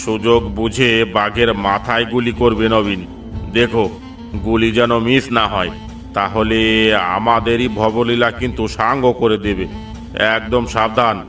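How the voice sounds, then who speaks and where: A man's voice: on the low side, level tone, measured pace, distant mic, music in the background, lightly acted. A man voicing a commanding village police inspector in a cartoon, giving orders with authority. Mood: cautioning. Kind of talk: cartoon voice acting.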